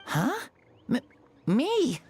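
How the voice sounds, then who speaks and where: A woman's voice: high voice, huge pitch swings, drawn-out words, a little mellow, exaggerated voice. A woman voicing an earnest, frail young boy in a video game, hesitant and innocent. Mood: dazed, confused. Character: frail, earnest young boy villager, wide-eyed and hopeful.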